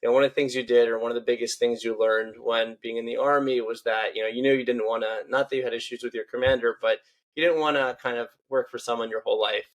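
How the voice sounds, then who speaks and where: A young adult man hosting a podcast, asking relaxed questions with many fillers. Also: mid-range voice, quick pace, poor audio. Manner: friendly, filler-heavy questions. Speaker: podcast host. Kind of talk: casual interview hosting.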